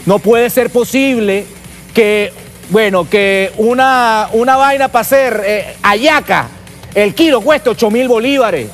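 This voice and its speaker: A Venezuelan man hosting a political talk show, giving impassioned, colloquial commentary in an emphatic way with rhetorical questions. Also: extremely high pitch.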